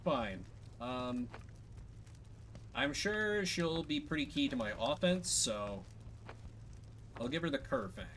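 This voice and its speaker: A man's voice: medium pitch, very animated, takes it slowly, long pauses, fairly bright tone, slight background noise. A man doing casual let's play commentary on a game as a streamer. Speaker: gaming streamer.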